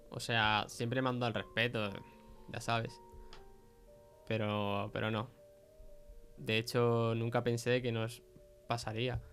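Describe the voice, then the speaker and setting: A young man with an accent from Spain, speaking as a podcast guest. His delivery is fairly even in pitch and colloquial, with hesitant repetitions, over faint music. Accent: Spain Spanish. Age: young adult. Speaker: podcast guest, film producer. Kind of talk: informal testimony in conversation.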